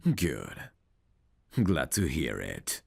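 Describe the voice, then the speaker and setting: A man playing a big, confident, flirtatious champion fighter in an intimate ASMR audio roleplay, speaking in a deliberate, teasing manner. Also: extremely low pitch, sing-song, gravelly, a little mellow, husky, dry recording, clean studio sound, full character voice. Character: big confident champion fighter, smooth, flirtatious, guarded about his past. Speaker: voice actor.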